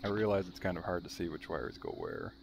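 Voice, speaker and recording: A man hosts a hands-on demonstration in a deep voice with a creaky, gravelly edge. His delivery is dry and matter-of-fact, explaining each step plainly. A faint layer of background noise sits under the voice. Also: wildly swooping pitch, a little fast. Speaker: video host showing a restored vintage radio. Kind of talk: how-to demonstration narration.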